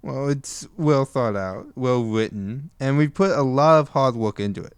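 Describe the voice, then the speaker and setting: A man's voice: medium pitch, a little slow, smooth, no echo. A man answering as an interview guest, a sound engineer on an audio production. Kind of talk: interview conversation.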